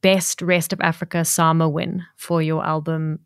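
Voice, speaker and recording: Woman podcast host, lowish voice, upbeat and gushing. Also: flat delivery, normal speed, smooth, dry recording, clean studio sound. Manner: upbeat and effusive. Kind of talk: podcast interview.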